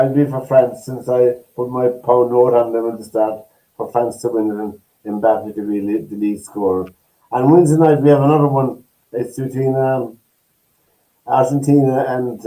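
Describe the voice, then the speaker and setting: A man's voice: medium pitch, fairly even intonation, moderate pace, warm tone, low-quality recording. A man hosting a sports show in a casual, chatty style.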